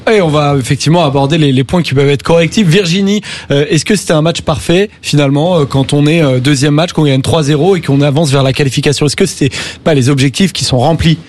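A man hosting a sports talk radio panel, quizzing his guests in a lively, bantering way. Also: fairly high pitch. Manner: quick, lively questioning, friendly banter. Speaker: radio show host.

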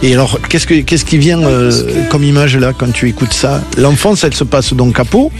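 A man's voice: medium pitch, normal speed. Chatty male radio host over faint music. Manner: chatty, teasing, laughs often. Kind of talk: radio interview.

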